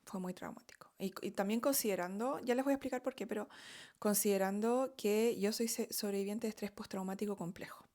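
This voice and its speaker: A middle-aged woman with a Chilean Spanish accent speaks candidly to her viewers in a relaxed storytime monologue. Her delivery is chatty and open, full of fillers, small laughs and frequent "¿ok?" checks. Her pitch sits in the middle for a woman. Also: a little fast. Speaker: vlogger telling her story.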